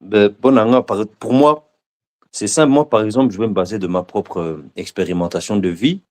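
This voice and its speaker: A man's voice: on the low side, moderate pace. A man in a casual discussion, speaking hesitantly and often repeating words while he searches for them.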